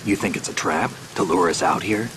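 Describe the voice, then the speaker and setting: A man plays a hardened male operative in a game cutscene, his voice pitched very low. He talks in short, matter-of-fact lines. Steady rain noise runs under the dialogue. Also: brisk, natural voice. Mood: level. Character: tough terse male soldier infiltrator. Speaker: special operative.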